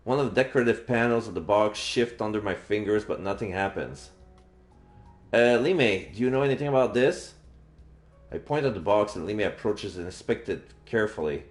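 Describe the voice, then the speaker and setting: Low-voiced man reading a game aloud, roomy. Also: lively intonation, normal speed. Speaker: streamer reading a visual novel aloud. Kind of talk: live playthrough narration, reading aloud. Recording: roomy echo, game music behind.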